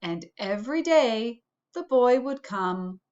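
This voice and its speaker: A woman reads a children's storybook aloud in a fairly high voice. She takes her time, speaking at a very slow pace. The recording is a little dull and lo-fi. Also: expressive, darkish tone. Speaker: school principal reading to pupils.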